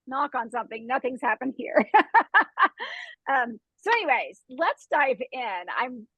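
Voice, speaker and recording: Female podcast host, chatty and expressive, slightly high husky voice, dry recording. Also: measured pace, no echo, lo-fi sound. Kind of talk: casual chat interview.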